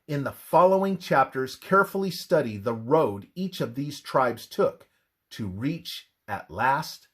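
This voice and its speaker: A man reading scripted religious narration in a solemn, measured, emphatic manner. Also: mid-range voice, expressive, a bit bright.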